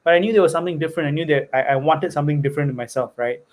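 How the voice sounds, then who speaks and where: A man's voice: on the high side, very fast. A young man from Singapore, earnestly telling his life story as a podcast guest and often checking in with "right?" and "you know". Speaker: guest investor. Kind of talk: personal story in conversation.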